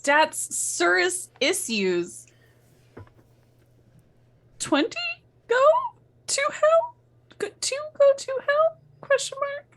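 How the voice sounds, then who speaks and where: A woman doing a brash, halting dramatic reading, breaking off for asides. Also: very high voice, wildly swooping pitch, fairly bright tone. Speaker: guest reader in a group reading.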